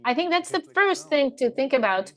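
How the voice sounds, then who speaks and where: A woman simultaneously interpreting a panel speaker into English, in halting, fragmentary phrases as she keeps pace with him. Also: high voice, sing-song, a bit bright.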